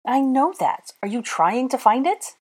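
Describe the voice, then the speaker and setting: An indignant young witch, played by a woman narrator, pitched well up and breathy. She gasps and demands in an anxious yet firm way, as a girl in a fantasy audiobook. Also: very animated, unhurried. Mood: frantic, demanding. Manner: gasping, yelping, demanding. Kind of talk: dramatised audiobook character dialogue.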